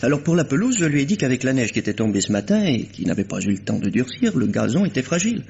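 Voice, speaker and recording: An actor playing a chatty, fussy caretaker in a vintage radio drama, folksy and hesitant. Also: male voice, medium pitch, sing-song, fast, gravelly, a little mellow, husky, exaggerated voice. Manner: folksy, hesitant fillers, gossipy. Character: chatty, fussy male doctor's caretaker, gossipy. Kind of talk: 1950s French radio drama acting. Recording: dull old radio recording with faint hiss.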